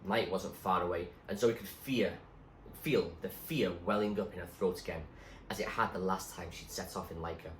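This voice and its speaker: A man reading a story aloud as a teacher would, in expressive storybook narration. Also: low-pitched, moderate pace. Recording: roomy echo, faint background noise.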